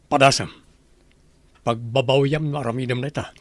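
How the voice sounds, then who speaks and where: A man's voice: medium pitch, fairly even intonation, normal speed, no room sound, close to an everyday voice. Man acting a stern, scheming rich boss, close-miked. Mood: cold, threatening. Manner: commanding, threatening. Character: stern, scheming wealthy boss and husband, male. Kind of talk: Ilocano radio drama acting.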